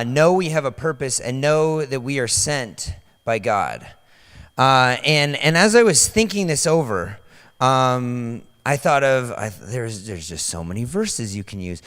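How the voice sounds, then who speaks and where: Young male pastor, casual sermon, slightly hoarse, smooth voice on a handheld mic in a reverberant hall. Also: medium pitch, fairly bright tone. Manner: loose, chatty, many fillers and restarts. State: voice partly lost, slightly hoarse. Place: large dark stage in a church hall.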